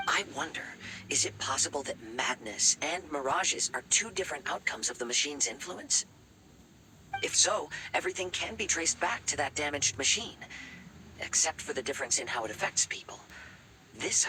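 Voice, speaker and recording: A man playing a gentle, reflective young swordsman in a video game, speaking politely and in measured phrases. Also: on the high side, expressive, background noise, close to an everyday voice. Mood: thoughtful.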